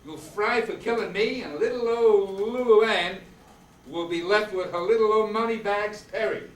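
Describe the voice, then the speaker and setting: A man's voice: high-pitched, normal speed, lightly acted. A man playing a sardonic, wisecracking hard-boiled detective in an amateur old-time radio play. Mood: taunting. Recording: echoey, distant, noisy room recording.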